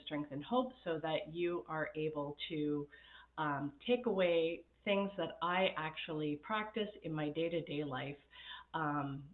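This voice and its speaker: A woman coaching on a live stream, chatty and laughing often, her voice raspy from a recent illness. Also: low voice. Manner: chatty, laughs often, reads viewer comments. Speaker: happiness coach. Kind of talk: casual live-stream talk. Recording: tinny phone-like sound in an echoey room.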